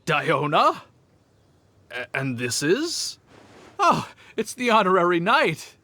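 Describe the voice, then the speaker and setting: An actor playing a polite, friendly, modest gentleman winemaker in a video game, speaking in a courteous, earnest, slightly hesitant way. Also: high-pitched, huge pitch swings, slow, no echo, full character voice. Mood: friendly, musing. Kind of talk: scripted video game character acting. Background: background music.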